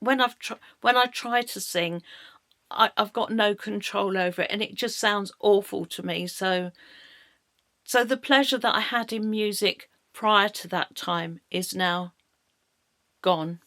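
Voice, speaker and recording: A middle-aged British woman with a slightly croaky voice, telling her own story in a halting way as she searches for words. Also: mid-range voice, fairly bright tone, no echo, clean studio sound. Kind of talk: personal storytelling monologue.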